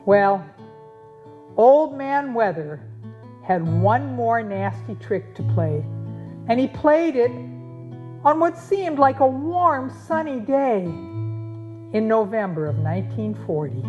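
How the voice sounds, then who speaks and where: Slow, higher-voiced woman storyteller. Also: very animated. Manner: dramatic, deliberate pauses. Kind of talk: scripted puppet show narration. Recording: muffled sound, guitar music behind.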